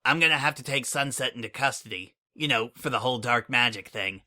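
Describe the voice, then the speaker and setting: A man's voice: medium pitch, fairly quick, bright, forceful tone. A man voicing a brash, smug female pony spy in a fan audiobook reading, speaking in a flippant, mocking way.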